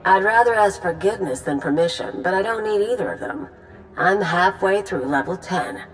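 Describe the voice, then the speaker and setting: A woman's voice: medium pitch, lively intonation, normal speed, faint music behind, natural voice, rough recording. A woman as a scornful, headstrong Sith operative. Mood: cocky defiance. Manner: scornful, sarcastic. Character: haughty, sardonic, headstrong female Sith operative. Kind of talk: dramatic video game voice acting.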